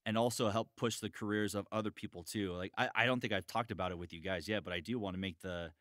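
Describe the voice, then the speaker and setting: A man's voice: fairly low pitch, quick pace, fairly bright tone, no echo, studio quality. A man hosting a podcast about voice acting in casual chat. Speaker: podcast host, voice actor.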